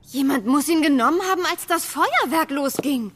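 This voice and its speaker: Earnest young girl, high and animated, frank, noisy background. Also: moderate pace. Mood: urgent. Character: honest sensible girl, frank and insistent. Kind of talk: children's audio drama acting.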